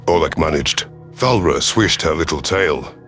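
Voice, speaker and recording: Deep-voiced male sci-fi narrator. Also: expressive, unhurried, a bit bright, faint music behind. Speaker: audiobook narrator. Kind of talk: first-person dramatic sci-fi story narration.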